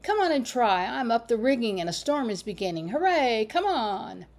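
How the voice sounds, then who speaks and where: A woman's voice: a little high, very animated, normal speed, a little mellow, pitched above the performer's own voice. A woman voicing a bold, saucy little girl in a storybook reading, teasing and sing-song. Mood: excited, shrill.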